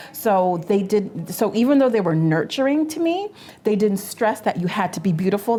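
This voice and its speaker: A woman's voice: on the low side, mellow. A Canadian woman in her forties, co-hosting a casual panel chat, earnest and stumbling over repeated words as she makes her point. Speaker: co-host.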